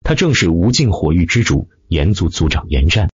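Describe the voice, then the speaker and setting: A man's voice: sits low, big pitch swings, moderate pace, slightly rough, no room sound, dull audio. A man reading a fantasy novel as dramatic scripted narration. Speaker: audiobook narrator.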